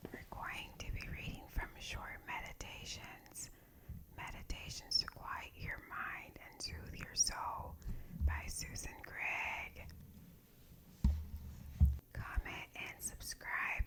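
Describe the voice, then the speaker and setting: Woman doing asmr meditation reading, all breathy whisper, really slow and soft. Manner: soft, slow, deliberate phrasing. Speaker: ASMR host reading aloud from a meditation book.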